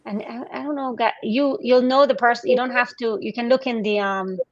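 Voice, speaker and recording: Woman gently guiding a video call. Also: on the high side, fairly even intonation, fairly quick, no echo, muffled recording. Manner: gentle, coaxing questions. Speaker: host guiding a group session.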